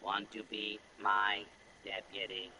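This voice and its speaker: A man voicing a folksy robot cowboy sheriff in a video game, with a halting, programmed delivery. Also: fairly low pitch, monotone, pushed and bright, a little hiss or hum, full character voice. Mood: folksy, flat. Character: folksy robot cowboy sheriff greeting theme park visitors. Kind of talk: scripted video game character dialogue.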